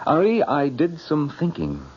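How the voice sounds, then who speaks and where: A man's voice: on the high side, huge pitch swings, unhurried, husky, exaggerated voice. An actor playing a nervous, evasive man in a radio drama, hesitant and theatrical in delivery. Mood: forced cheer. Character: evasive, nervous sculptor torn between two women. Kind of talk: 1970s radio drama acting. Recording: dull old radio recording with music.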